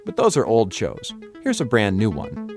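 Guy hosting radio show, scripted, real animated pitch, faint music under. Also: normal speed. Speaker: radio host. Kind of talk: scripted narration.

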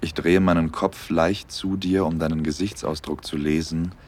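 A man's voice: very deep voice, steady tone, moderate pace, a little mellow, husky. An actor playing a smitten, romantic young man, tender and intimate, in a first-person audio drama. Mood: longing, intimate.

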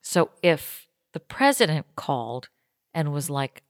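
Low-voiced young woman cohosting a podcast, jokey and chatty, dry close sound. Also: big pitch swings, measured pace, dry recording. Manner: chatty, joking, laughs often. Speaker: podcast co-host. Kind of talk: casual chat. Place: home basement tiki lounge.

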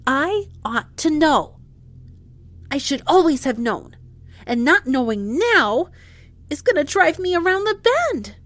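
A woman's voice: high-pitched, very animated, slow, a bit bright, no room sound, full character voice. An actress in an audio drama playing a chatty, emphatic woman who runs a moon base's inventory and gets easily frustrated. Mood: frustrated, exasperated.

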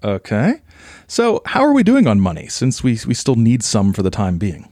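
A man's voice: mid-range voice, wildly swooping pitch, moderate pace, dark tone, no room sound, studio quality. Actor as naive, hesitant male believer. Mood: mild, sincere. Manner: hesitant, guileless. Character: naive, sincere male alien contactee and meditation leader. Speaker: actor in an audio play.

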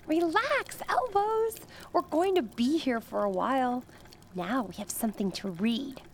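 An actress playing a soothing, whimsical woman in an audio drama, unhurried and gently teasing. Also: high-pitched, lively intonation, a little hiss or hum. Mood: soothing, playful.

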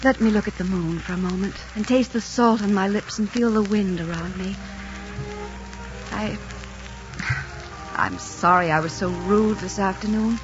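A woman's voice: mid-range voice, fairly even intonation, normal speed, long pauses, natural voice. An actress playing a proud, romantic young lady in a 1940s radio drama. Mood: wistful, daring. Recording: muffled 1940s radio recording, loud music.

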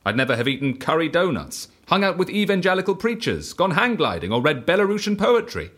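A man playing an eccentric, self-assured devotee of chance in an audio drama, asking clipped, probing questions and speaking with calm conviction. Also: a little high, brisk. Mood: zealous.

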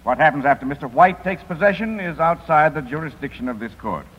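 Husky, formal courtroom judge. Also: male voice, fairly high pitch, lively intonation. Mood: stern, official. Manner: formal, deliberate, authoritative. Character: stern, formal male courtroom judge, measured and official. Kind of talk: 1950s radio drama acting. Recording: dull vintage radio broadcast.

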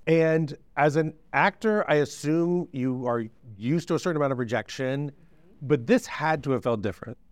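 A man interviewing an actress, asking measured, thoughtful questions. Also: on the high side, no echo.

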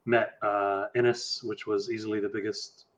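A man's voice: fairly low pitch, level tone, measured pace. A young American man chatting casually as a podcast guest, on slightly dull-sounding audio. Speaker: podcast guest, tournament player. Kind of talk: casual chat.

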